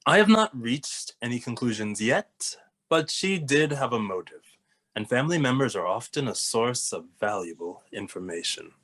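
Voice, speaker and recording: Casting: man as careful, formal young cartoon detective, medium-pitched, measured and earnest. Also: lively intonation, fairly bright tone. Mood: cautious. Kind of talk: animated comedy table read.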